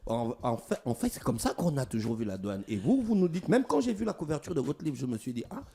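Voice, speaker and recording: Mellow-voiced radio host. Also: male voice, medium pitch, sing-song, fast, gravelly, husky. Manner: casual, playful questioning. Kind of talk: morning radio interview. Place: radio studio.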